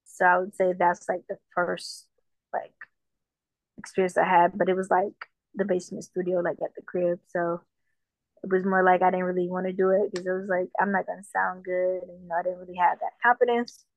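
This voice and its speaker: A woman from Jamaica talks casually as an interview guest, relaxed and full of fillers. Her voice sits low and stays fairly flat. The recording is dull and dry, with little room sound. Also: fairly quick, dry recording. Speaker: musician guest.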